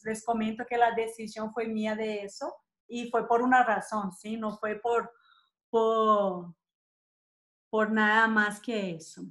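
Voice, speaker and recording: A woman's voice: on the high side, fairly bright tone. A woman in her thirties from Colombia with a Colombian accent, hosting a casual live makeup chat from a small room on a webcam, often checking in with "¿sí?". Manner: chatty, tags questions with "¿sí?". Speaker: host of a live makeup session. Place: small indoor room, seated at a desk. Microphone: webcam at desk distance.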